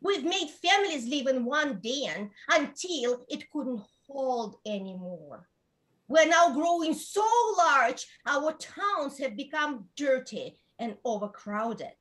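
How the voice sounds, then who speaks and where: Woman acting a high, sharp, indignant villager. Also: expressive, slow pace, exaggerated voice. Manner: emphatic. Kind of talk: dramatic play reading.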